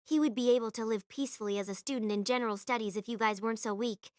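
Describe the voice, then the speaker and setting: An actress playing a hot-tempered, scornful young military student in an audio drama, confrontational and accusatory. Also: female voice, a little high, fast, a little mellow, no echo, studio quality, close to an everyday voice. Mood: contemptuous. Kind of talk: anime-style audio drama acting.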